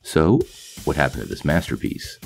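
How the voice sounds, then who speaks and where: A man's voice: rumbling low, big pitch swings, normal speed, creaky, breathy edge, faint music behind. A man hosting a comic nostalgia channel, reading a scripted voice-over in an enthusiastic, playful storytelling manner. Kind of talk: scripted video narration.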